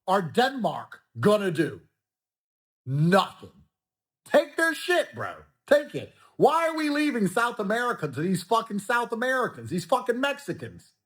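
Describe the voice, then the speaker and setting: A man ranting crudely and aggressively on a podcast clip. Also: high-pitched. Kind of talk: podcast rant.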